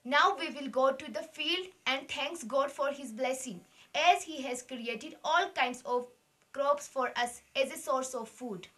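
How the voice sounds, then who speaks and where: A woman's voice: high voice, a little slow, a bit bright. A student playing a kind village mother in a school drama, instructing her family. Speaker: student actor.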